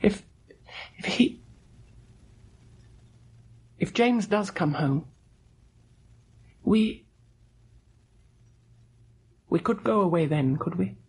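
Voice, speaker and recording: An actor playing a weak, gentle husband of about thirty in a radio drama, husky and halting, with a plaintive, weary manner. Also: male voice, sits high, animated, exaggerated voice. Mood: weary, pleading. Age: 30s. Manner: halting, plaintive, self-doubting. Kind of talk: old radio drama acting.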